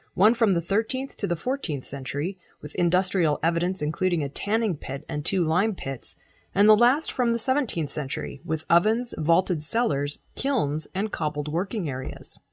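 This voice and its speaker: A woman reading scripted news as a presenter, in a measured, formal delivery. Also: fairly low pitch, slightly rough. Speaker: news reader.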